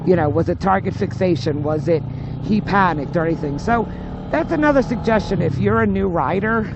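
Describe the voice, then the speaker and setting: Through a dull helmet intercom with loud road noise comes a middle-aged woman. She chats casually as she rides, rambling along conversationally like a motorcycle vlogger. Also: lowish voice, expressive, fairly quick. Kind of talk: casual chat while riding. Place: outdoors on a moving motorcycle.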